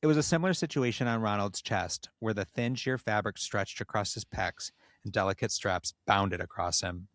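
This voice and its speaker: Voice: low-pitched, moderate pace, dry recording, clean studio sound. A podcast host reading fan fiction aloud in a playful, dramatic way, breaking off for joking asides.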